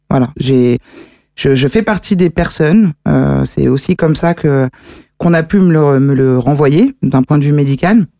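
A woman's voice: low-pitched, warm tone, dry recording. A woman in her thirties, a guest on a local radio show, talking frankly and chattily about her own experience in a studio. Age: 30s. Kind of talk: casual radio chat, personal testimony. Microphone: studio microphone.

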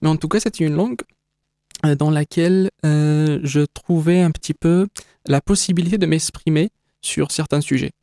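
A man's voice: a little high, moderate pace, warm tone, no room sound, clean studio sound. A man whose first language is Kabyle, an exhibition creator giving a radio interview, speaking hesitantly with many fillers and restarts. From North Africa (Kabyle).

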